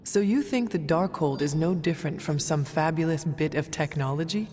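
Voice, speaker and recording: A performer voicing a calm, earnest resurrected warrior in a video game, with formal, measured, old-fashioned speech. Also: medium pitch, slight background noise, natural voice. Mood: cautioning. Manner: formal, measured, avoids contractions.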